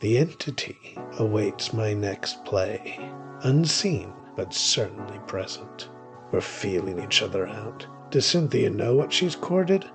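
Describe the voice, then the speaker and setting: A man's voice: on the low side, expressive, slow. A man playing a weary, cynical male witch, narrating a horror thriller audio drama in the first person in a wry, brooding, theatrical way. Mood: wary, brooding. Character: weary, cynical male witch hunting through a haunted house. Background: music behind the voice.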